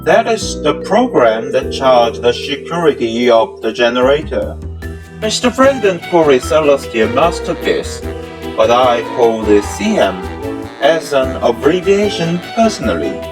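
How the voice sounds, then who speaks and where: A man's voice: medium pitch, big pitch swings, measured pace. A man acting out a scripted amateur audio drama in formal, stilted English with non-native phrasing. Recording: music under the voice. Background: instrumental music.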